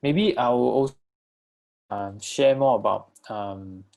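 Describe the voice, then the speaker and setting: A man from Malaysia giving a virtual talk about his venture and taking audience questions, with many pauses, fillers and restarts. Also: medium pitch, gravelly, darkish tone. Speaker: co-founder presenting his enterprise.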